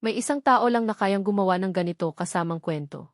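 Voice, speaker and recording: A woman's voice: medium pitch, a little fast, no echo. Female narrator, Filipino accent, dramatic romance storytelling, mid-range voice, dry recording.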